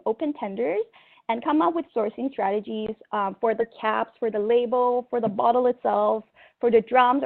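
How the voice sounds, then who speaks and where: A young woman from Thailand giving an earnest testimonial talk, steady, with frequent ums and self-corrections. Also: on the high side, gravelly. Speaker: procurement manager. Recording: tinny phone-like audio.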